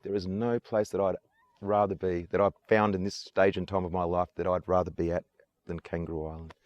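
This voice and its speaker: A man's voice: low-pitched, level tone, brisk, lo-fi sound. An Australian man from Adelaide, working as a tour guide, answers interview questions outdoors in a casual, enthusiastic and earnest way, and keeps repeating his praise. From Adelaide, South Australia. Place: outdoors on an island.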